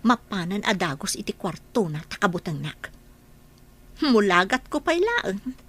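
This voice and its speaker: A woman playing a spiteful, scheming nanny in an Ilocano-accented radio drama, with a sharp, confrontational manner. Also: medium pitch, big pitch swings, measured pace, husky, full character voice. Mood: scheming, brooding.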